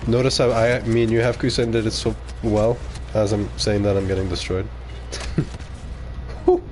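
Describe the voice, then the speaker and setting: A man whose first language is French talks through a live gaming stream in a relaxed, low-pitched voice. He reacts out loud to the action and mutters asides to his viewers. A faint hiss sits under the recording. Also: measured pace. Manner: casual, reacting aloud to the game, muttering asides. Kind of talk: live gameplay commentary.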